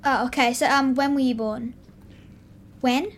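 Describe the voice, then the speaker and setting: A woman's voice: high-pitched, fairly even intonation, unhurried. A woman interviewing an older man, asking him brief, prompting questions.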